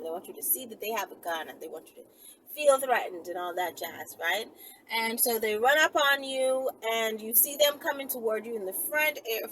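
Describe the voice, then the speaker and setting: A woman vlogger with a somewhat high voice speaks off the cuff in a vehicle. She thinks aloud in a casual, conversational way, leaning on words for emphasis. Light noise sits behind her voice. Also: fairly bright tone, poor audio. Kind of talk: casual rambling solo talk. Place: inside a vehicle in traffic.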